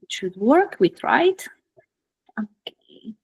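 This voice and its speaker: Woman, Ukrainian accent, somewhat high pitch, informal presenter, searching pauses, online talk. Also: darkish tone, low-quality recording. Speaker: guest speaker, product designer. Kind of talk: personal career talk to an online group. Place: online video call.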